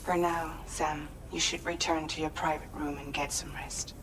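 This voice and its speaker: An actress playing a composed mission analyst briefing someone in a video game cutscene, businesslike and precise. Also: female voice, low-pitched, little pitch movement, normal speed, noisy background, close to an everyday voice.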